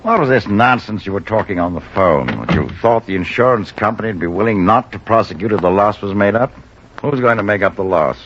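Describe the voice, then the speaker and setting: A self-righteous rich man in an old radio drama, pompous and indignant, his low voice rising and falling lively. The recording is dull and lo-fi. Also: brisk, a little hiss or hum. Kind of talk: 1950s radio drama acting.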